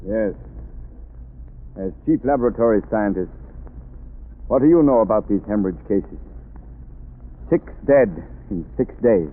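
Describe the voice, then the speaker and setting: An actor playing a grave, determined senior doctor in a 1930s radio drama. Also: male voice, lowish voice, lively intonation, a little slow, pauses often. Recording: tinny old radio broadcast.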